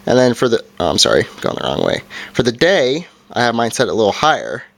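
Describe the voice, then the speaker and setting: A man's voice: mid-range voice, wildly swooping pitch, fast talker, vocal fry, heavy background noise. Casual man giving a hands-on review. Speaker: product reviewer.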